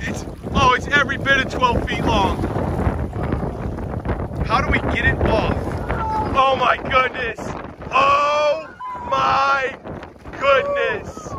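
A middle-aged man excitedly narrating a fishing catch on a rocky shore, recorded on a handheld phone. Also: extremely high pitch, slow, long pauses. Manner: excited, exclamatory, urging. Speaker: father filming a fishing trip. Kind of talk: excited vlog commentary. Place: rocky shoreline outdoors by the sea, windy. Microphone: handheld phone camera. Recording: heavy wind noise outdoors.

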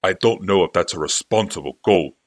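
A man's voice: deep voice, a little fast. A man voicing an earnest young male apprentice who sounds guarded and defensive.

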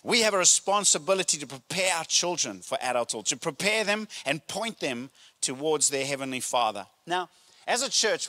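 A middle-aged man, a pastor preaching a sermon with emphatic, deliberate phrasing and pauses, speaking into a handheld microphone. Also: high-pitched, bright, forceful tone. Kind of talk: sermon to a congregation. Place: church auditorium stage, indoors.

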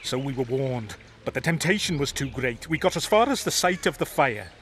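An actor playing an earnest, nervous medic in a fantasy video game, speaking rather formally. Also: male voice, mid-range voice, big pitch swings, fairly quick, a bit bright, a little hiss or hum. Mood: earnest, uneasy. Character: anxious, earnest male army medic in fantasy world.

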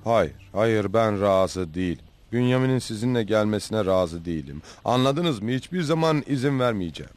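Man as old blind father, fairly low pitch, firm, distrustful, sorrowful, cartoon drama. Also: lively intonation, moderate pace, hardly any pauses, a little mellow, a little hiss or hum, lightly acted. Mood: refusing. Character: grieving blind old father, protective and stubborn. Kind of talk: cartoon dub acting.

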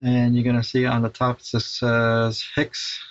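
A man's voice: mid-range voice, monotone, a little slow. Middle-aged man, slightly muffled tutorial voice. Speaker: tech installer presenting a how-to tutorial. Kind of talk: casual step-by-step tutorial.

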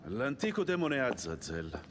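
An actor playing a grave, dignified leader of an occult order in a video game, solemn and formal. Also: male voice, medium pitch, wildly swooping pitch, slow, a little hiss or hum. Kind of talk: video game cutscene acting.

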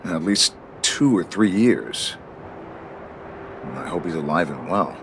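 An actor playing a stern but fair guard captain in a video game, speaking formally and earnestly in measured lines. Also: male voice, low-pitched, lively intonation, fairly bright tone, a little hiss or hum, heavily acted. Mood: earnest, grave. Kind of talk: scripted video game voice acting.

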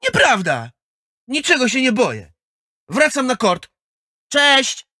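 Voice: high voice, huge pitch swings, takes it slowly, bright, forceful tone, no echo, studio quality, exaggerated voice. A performer playing a proud, sulky young schoolboy athlete in a children's audio drama, defensive and prone to stammer. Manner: defensive, stammering when flustered.

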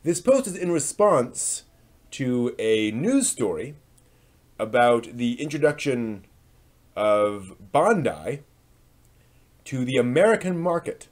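A man's voice: mid-range voice, big pitch swings, takes it slowly, pauses often. A man in his thirties hosting a dramatic reading of old online posts with spoken asides, theatrical and emphatic, recorded in a small room at home. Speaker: host.

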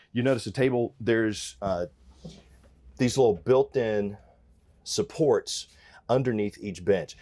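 Man, forties, RV presenter, upbeat, conversational sales pitch, lapel mic, small interior. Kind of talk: walkthrough product review. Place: inside a travel trailer, small room.

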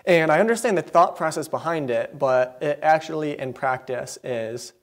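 A young man delivers a sermon from a church pulpit, his voice sitting a little high for a man. He speaks earnestly into the pulpit microphone, slipping in quick asides with a mildly indignant edge. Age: young adult. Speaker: preacher. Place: church sanctuary, behind a wooden pulpit.

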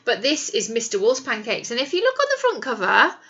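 A middle-aged woman, a primary teacher reading a picture book to young children in an animated, explanatory way with questions to them. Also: on the high side, fairly quick, husky, dull audio. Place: small indoor classroom. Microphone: webcam or device at arm's length.

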